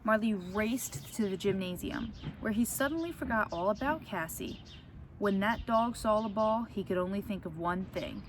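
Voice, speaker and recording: A woman reads a picture book aloud in the expressive manner of a teacher with her class. Her voice sits at an ordinary pitch for a woman. There is clear ambient noise behind her. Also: normal speed. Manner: expressive, acts out the characters' lines. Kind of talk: animated children's story read-aloud.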